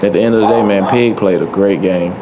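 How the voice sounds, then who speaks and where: A young man speaks into a table microphone at a postgame press conference. His voice sits low and stays flat, and he talks in a relaxed, soft-spoken way with many fillers. Steady hiss runs under the room. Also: quick pace. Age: young adult. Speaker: college football player. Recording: noisy press room audio.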